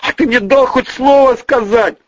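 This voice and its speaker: A man's voice: a little high, measured pace, a bit bright, slightly rough, dry recording, lo-fi sound, voice pushed up, exaggerated voice. A man voicing a nervous, cowed man who protests and makes flustered excuses in an audiobook story. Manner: exclaiming, mumbling.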